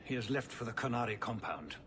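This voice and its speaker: An actor playing a careworn, formal older city ruler in a video game, stately and politely pleading. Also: male voice, mid-range voice, animated, fairly quick, fairly bright tone, a little hiss or hum, natural voice. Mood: troubled, pleading. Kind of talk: video game cutscene acting.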